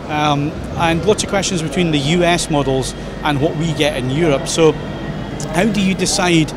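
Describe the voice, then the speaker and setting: A man's voice: on the high side, moderate pace. A man with a Scottish accent interviewing a guest at a trade show, asking chatty, informal questions. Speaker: tech website interviewer. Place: busy trade show hall. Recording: noisy trade show floor. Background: crowd chatter and hall noise.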